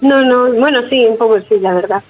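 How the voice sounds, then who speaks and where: Higher-pitched Spanish woman on the phone. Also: a little fast, darkish tone. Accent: Spanish, from Spain. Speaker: prank call recipient. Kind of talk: phone conversation. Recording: phone call with music behind.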